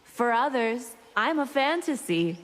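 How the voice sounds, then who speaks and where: A woman's voice: on the high side, sing-song, measured pace, in a large hall, slight background noise. A woman voicing a predatory, teasing vampire in a video game, sly and taunting. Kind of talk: video game villain acting.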